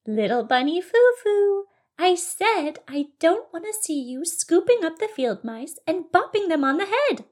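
High, sing-song good fairy. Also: female voice, big pitch swings, a little slow, full character voice. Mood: firm warning. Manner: sing-song, gently scolding. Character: kindly but firm female good fairy, grandmotherly.

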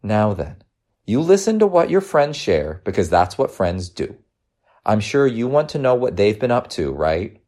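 A man voicing a gentle, teasing working mother in a storybook reading, coaxing and reassuring. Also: lowish voice, big pitch swings, normal speed, voice pushed up.

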